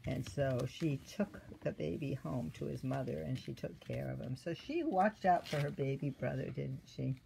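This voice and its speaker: Older female storyteller for small children, mellow tone, gentle teacherly questioning, faint room noise. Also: a bass voice, lively intonation, normal speed, creaky, hardly any pauses, low-quality recording. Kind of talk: children's devotional story read aloud with questions. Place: indoor room at a table.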